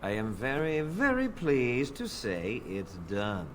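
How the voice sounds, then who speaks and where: A man's voice: mid-range voice, wildly swooping pitch, takes it slowly, a bit bright, a little hiss or hum, exaggerated voice. A man voicing a weary, long-suffering shopkeeper in a fantasy video game, dry and exasperated as he placates people. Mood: placating. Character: weary, long-suffering male armor shop keeper.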